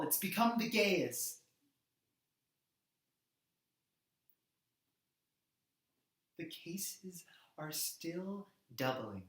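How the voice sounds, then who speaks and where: A man's voice: fairly high pitch, a bit bright. Man acting an impassioned activist, echoey room. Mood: angry, desperate. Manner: emphatic, halting delivery in short weighted phrases. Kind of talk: theatrical dramatic monologue.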